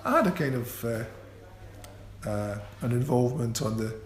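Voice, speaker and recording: A man who stammers, talking in a radio interview with repeated sounds and restarts. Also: mid-range voice. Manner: slow and considered. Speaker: interview guest, musician. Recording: echoey room with faint ambient noise.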